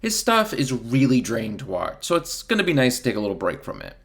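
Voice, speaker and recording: A man giving scripted film review commentary in a blunt, exasperated, sardonic way, with a gravelly creak. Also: mid-range voice, wildly swooping pitch, quick pace. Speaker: video reviewer.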